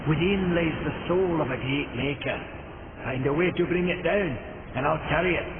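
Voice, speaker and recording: A man's voice: on the high side, moderate pace, natural voice. A man playing a hearty, plain-spoken fantasy maker in a video game, earnest and emphatic. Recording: tinny band-limited game audio, heavy noise.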